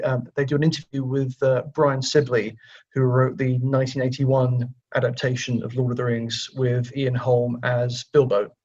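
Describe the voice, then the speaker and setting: A man with a slightly dull, lo-fi sound talks casually as an interview guest. He speaks in a halting, conversational way, often doubling back on his words in a middling-to-low register. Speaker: guest writer.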